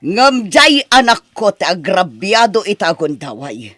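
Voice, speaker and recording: A man performing an older Ilocano mother in a family radio drama. The voice sits high and swings widely in pitch, measured and admonishing, protective and quick to turn stern. It is recorded close and dry. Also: sing-song, pushed and bright, no echo, full character voice. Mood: stern, reproachful. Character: protective older mother, gentle with daughter, stern with son-in-law.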